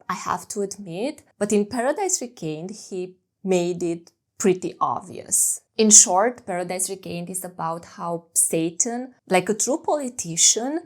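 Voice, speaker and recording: A young woman sits and talks through her thoughts on a long poem she has been reading. She speaks slowly and deliberately, dropping dry, sarcastic asides along the way. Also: lowish voice. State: sore throat, losing her voice. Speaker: book vlogger. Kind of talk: reflective reading vlog talk.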